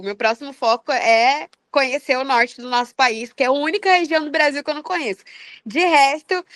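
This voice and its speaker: A Brazilian woman with an accent from outside Rio, chatting as a podcast guest in an animated, slangy way, telling personal stories. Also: high voice, dry recording. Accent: Brazilian, non-Rio. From Brazil, outside Rio de Janeiro. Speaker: podcast guest, funk singer. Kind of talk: casual chat, personal storytelling.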